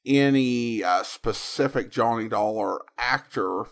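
A man hosting a podcast, giving casual commentary on old radio shows in a halting, conversational way with emphatic swings. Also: mid-range voice, vocal fry. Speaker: podcast host.

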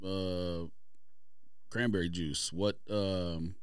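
A man's voice: low voice, steady tone, drawn-out words, clean tone, no room sound. A middle-aged American man in casual, slangy podcast conversation in a studio. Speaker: podcast panelist.

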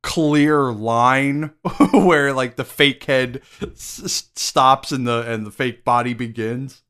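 A man's voice: medium pitch, takes it slowly, fairly bright tone, clear, resonant tone. Stuffy-nosed man, rambling restarts. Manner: hesitant, many restarts and likes. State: stuffed-up nose. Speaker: podcast co-host. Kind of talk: casual movie review chat.

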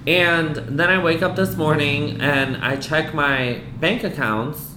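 A woman from the US East Coast telling a personal story to a host, candid and animated, filling her talk with "like" and some swearing. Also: deep voice, takes it slowly, background noise. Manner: frank, animated, peppered with "like" and swearing. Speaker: guest. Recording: roomy echo.

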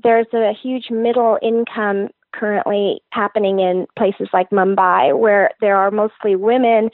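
A woman's voice: medium pitch, fairly even intonation, measured pace, dry recording. Woman, fitness trainer, eager and earnest in interview, tinny phone-quality audio. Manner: earnest, enthusiastic explaining. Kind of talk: interview answers. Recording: tinny phone-like line, dry.

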